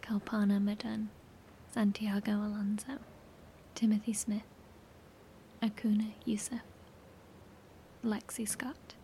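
A woman playing a weary, sombre bunker leader speaking in a hushed, breathy, measured way in a horror audio drama. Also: mid-range voice, little pitch movement, a little hiss or hum. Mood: mournful.

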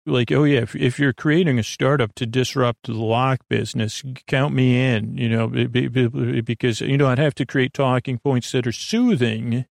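A middle-aged American man hosting a sleep podcast in a soothing, meandering monologue, halting, interrupting himself and trailing off. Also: medium pitch, fairly even intonation, gravelly, dry recording, clean studio sound.

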